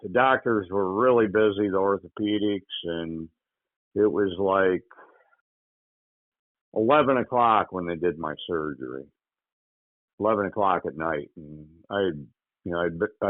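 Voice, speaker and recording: Deep-voiced man on a tinny phone line. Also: no echo. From Michigan, USA. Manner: halting, with frequent pauses and "you know". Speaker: interview guest recounting a hunting accident. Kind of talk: casual spoken recollection.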